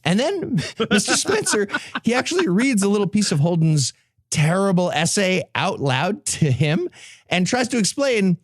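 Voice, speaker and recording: A man's voice: sits high, big pitch swings, moderate pace, no room sound, clean studio sound. A man hosting a comedy podcast, giving a sarcastic, profane recap to his co-hosts. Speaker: podcast host.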